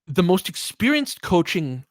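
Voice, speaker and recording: A man's voice: high-pitched, sing-song, measured pace, dry recording, studio quality. A man giving casual, opinionated basketball commentary as a podcast host, emphatic and conversational, often checking in with rhetorical questions.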